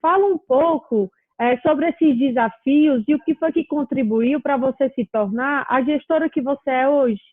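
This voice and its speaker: A woman's voice: sits high, moderate pace, hardly any pauses, no echo. A woman hosting an interview, asking long, supportive questions. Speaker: host. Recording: thin, phone-like, dry.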